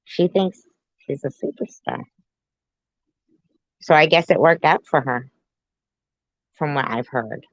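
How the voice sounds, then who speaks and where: A woman's voice: deep voice, animated, takes it slowly, long pauses, dry recording, highs cut off. A woman doing sarcastic reaction commentary on a YouTube video, with mocking asides.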